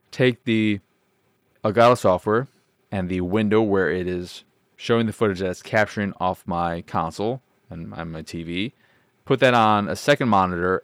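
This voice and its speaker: Deep-voiced man rambling casually. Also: dry recording, studio quality. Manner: rambling, self-deprecating, swears casually. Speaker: podcast host. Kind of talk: casual solo podcast chat.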